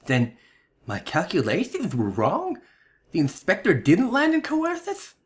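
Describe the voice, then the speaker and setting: A man voicing an excitable, earnest young lady investigator from a video game, eager and exclamatory. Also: measured pace, heavily acted. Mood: dismayed. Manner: eager, high-pitched exclamations. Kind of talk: melodramatic video game dialogue reading.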